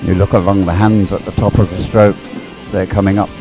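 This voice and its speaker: A man giving unscripted live race commentary, explaining as he goes in a hesitant, halting way and repeating words. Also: low voice, little pitch movement, music in the background. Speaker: sports commentator.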